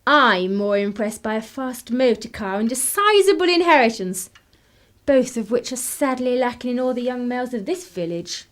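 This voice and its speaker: A woman's voice: a little high, animated, moderate pace, lightly acted. A woman playing a bored, sardonic young upper-class English daughter of a 1930s clergyman in a radio play, with arch wit and an English accent. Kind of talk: radio play acting and narration.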